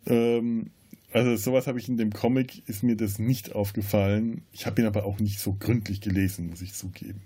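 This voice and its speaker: A man's voice: lowish voice, little pitch movement, brisk, dark tone, husky. A man co-hosting a podcast, casually discussing film and often restarting his phrases. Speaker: podcast co-host.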